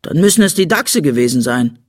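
A man's voice: a little high, big pitch swings, brisk, no echo, clean studio sound. A man voicing a grumpy know-it-all little boy, indignant and self-important, for a children's audiobook. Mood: explaining. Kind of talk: animated children's audiobook character voice.

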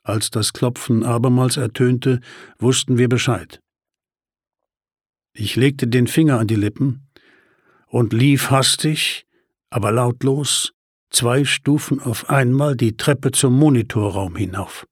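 A man narrating a first-person crime story as an audiobook, measured and tense with dry humor. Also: mid-range voice, slightly rough, dry recording.

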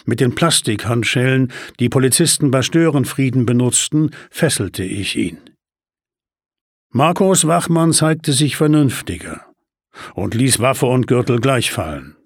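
A man narrating a first-person crime story as an audiobook, measured and tense with dry humor. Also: mid-range voice, animated, no room sound.

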